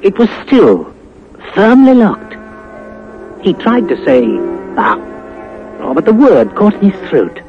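Old radio drama narrator guy, very animated n suspenseful, music behind him. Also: high voice. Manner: dramatic, suspenseful storytelling with pauses. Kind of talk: scripted 1950s radio storytelling. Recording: muffled 1950s radio recording.

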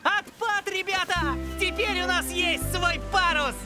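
A woman voicing a cocky, cheerful young boy who fancies himself a pirate in an animated film. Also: very high-pitched, a little slow. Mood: excited. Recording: loud music behind the voice.

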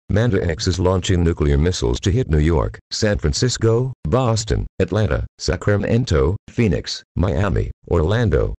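Male alert announcer, low and rough, with widely swinging intonation. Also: a little mellow, no echo. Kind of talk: scripted emergency announcement.

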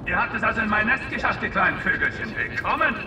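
A man's voice: sits high, very animated, fast talker, echoey room, noisy background. An actor playing a menacing, theatrical Nazi general in a video game, a native German speaker who taunts his enemies. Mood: gloating menace. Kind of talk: villain acting in a video game.

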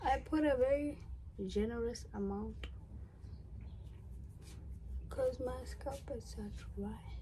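A woman's voice: fairly high pitch, slow, long pauses, warm tone, slight background noise, rough recording. A young woman from South Africa chatting casually in a vlog, giggly and thinking aloud as she goes. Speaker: vlogger.

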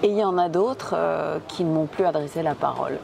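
A middle-aged woman from Tunisia, answering interview questions reflectively with pauses and restarts, on an outdoor café terrace with a lapel mic. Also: low voice, creaky, a loud crowd. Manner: reflective, with frequent hesitations and restarts. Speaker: theatre director and physicist.

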